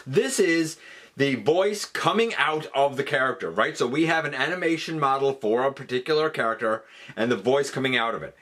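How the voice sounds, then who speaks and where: A man's voice: mid-range voice, a bit bright. A man hosting an online countdown, mixing showy announcer-like emphasis with chatty asides as he talks to viewers. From Canada. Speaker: YouTube channel host. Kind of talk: countdown video commentary to viewers.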